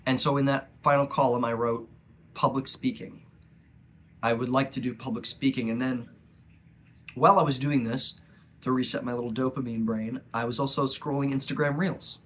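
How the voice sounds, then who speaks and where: A young man with a cold and a stuffy nose, talking earnestly and haltingly in a personal reflective monologue. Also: mid-range voice, steady tone, fairly bright tone. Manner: earnest, halting, with frequent pauses. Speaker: vlogger.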